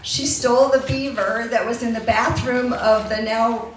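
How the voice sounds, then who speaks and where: A woman's voice: on the high side, fairly even intonation, moderate pace. A woman telling informal anecdotes to a memorial gathering, chuckling as she reminisces. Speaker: speaker sharing memories at a memorial. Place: echoey back room at a gathering. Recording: very echoey room, clearly noisy.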